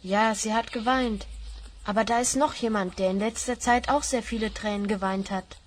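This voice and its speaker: A woman's voice: mid-range voice, fairly even intonation, moderate pace, slight background noise, close to an everyday voice. A woman playing an earnest, polite young hero on a quest in a children's fantasy radio play. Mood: wistful.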